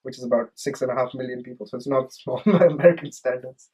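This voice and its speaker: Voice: medium pitch, quick pace, mellow, breathy edge. Reflective young speaker. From Pune, India. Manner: reflective, thoughtful, light laughs. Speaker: international student. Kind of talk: documentary interview.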